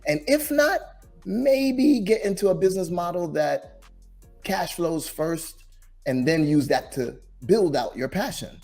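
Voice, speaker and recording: A man from Jamaica hosting a business podcast, talking in a lively, encouraging way and often restarting his sentences. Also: sits high, a little slow, gravelly, soft background music. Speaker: podcast host. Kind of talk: casual interview.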